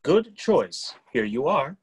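A man's voice: mid-range voice, sing-song, slow, slightly rough, voice pushed up, exaggerated voice. A man in a play reading, playing an obliging, cheery talking smart refrigerator.

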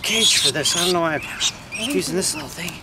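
A man's voice: a little high, lively intonation, brisk. Male, 30s, field ratter; casual commentary and dog commands, chest-cam audio with wind and dog noise behind. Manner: calls out commands to dogs, praises them warmly. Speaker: pest control ratter with dogs. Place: outdoor dirt pens on a farm. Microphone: chest-mounted body camera. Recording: noisy outdoor camera audio. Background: dogs and outdoor ambience.

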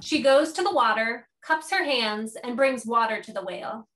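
A woman's voice: on the high side, moderate pace, fairly bright tone, distant mic, poor audio. A middle-aged woman reading stage directions as scripted narration over a video call. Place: home office, video call.

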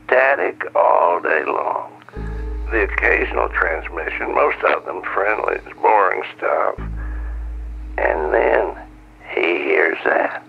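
Elderly ex-navy man, very slow gravelly storyteller, wry and blunt, dull-sounding interview audio. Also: lively intonation, faint music behind, exaggerated voice. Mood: wry, storytelling. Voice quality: deep, gravelly. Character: salty, wry elderly retired navy signal technician.

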